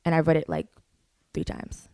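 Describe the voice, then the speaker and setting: A woman's voice: moderate pace, darkish tone, dry recording, clean studio sound. A young woman, a singer, chatting casually as a guest, laid-back and full of "like" fillers and swearing. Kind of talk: casual interview chat.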